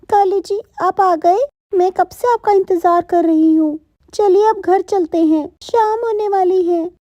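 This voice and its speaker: High, plaintive, devoted wife bird acted by a woman. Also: unhurried, mellow, dry recording, lo-fi sound. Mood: trusting. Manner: deferential, plaintive.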